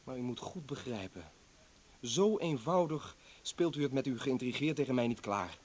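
A man's voice: medium pitch, lively intonation, moderate pace, a little mellow, natural voice. Man playing bitter, defiant doctor, swooping voice. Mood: scornful, agitated. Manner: sarcastic, indignant, argumentative. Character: bitter, defiant male doctor, former political prisoner. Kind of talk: dramatic radio play acting.

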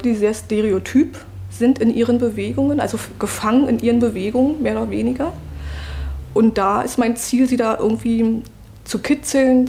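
A woman reflecting spontaneously in an interview, earnest and halting as she searches for words. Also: on the high side, dark tone, slight background noise.

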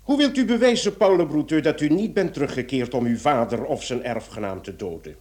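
Actor as an excitable, blustering police commissioner with swooping pitch. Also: male voice, brisk, close to an everyday voice. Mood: stern, interrogating. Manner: theatrical, exclamatory, grumbling. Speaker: actor in a radio play. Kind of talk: Dutch-language radio play acting.

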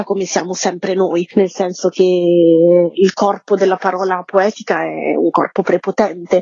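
Woman, husky voice, theatre artist, reflective conversational interview, very dry and dull recording. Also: lowish voice, a little mellow, no room sound. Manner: often seeks agreement with short tag questions. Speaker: theatre-maker guest.

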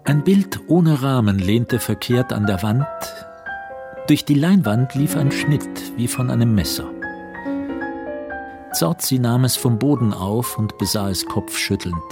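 A man narrating a literary radio play in a measured, precise storytelling manner. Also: medium pitch, lively intonation. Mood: composed. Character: composed literary male narrator. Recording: music under the narration.

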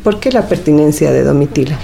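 A woman's voice: sits low, lively intonation, a little fast, vocal fry, mellow, slight background noise. A woman hosting and narrating a radio documentary in a scripted style. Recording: music behind the voice. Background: music.

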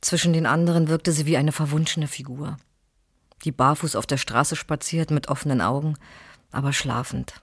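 An actress playing a sympathetic colleague who narrates in a musing, poetic way in a radio drama. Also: female voice, low voice, steady tone, normal speed, husky. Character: wistful, sympathetic female colleague and witness narrator. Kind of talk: German radio drama monologue and dialogue.

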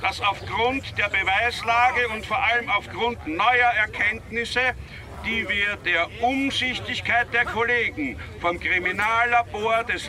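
An actor playing a formal police inspector, addressing a crowd in stiff official phrasing in a radio drama. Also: male voice, sits high, sharp tone, heavily acted. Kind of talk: German radio drama acting.

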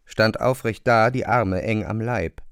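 A man narrating a literary radio drama in a measured, precise manner. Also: lowish voice, smooth, no room sound, studio quality.

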